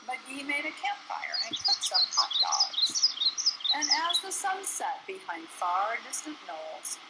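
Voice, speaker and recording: A woman reading a rhyming children's picture book aloud in an expressive, sing-song storytelling manner. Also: sits high, slow, heavy background noise. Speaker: storybook reader.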